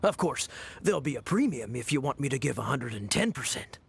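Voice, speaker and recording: A cheeky gravelly haggler. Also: male voice, mid-range voice, big pitch swings, brisk, a little hiss or hum. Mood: haggling. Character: greedy young male odd-job hustler. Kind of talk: game voice acting. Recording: game audio with music behind.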